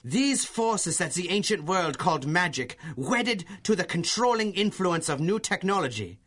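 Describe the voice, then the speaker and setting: An actor playing a pompous, fanatical German professor villain with a German accent in a 1930s-style radio adventure serial, gloating theatrically with drawn-out emphasis. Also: male voice, sits high, big pitch swings, a bit bright, full character voice. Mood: gloating, grandiose.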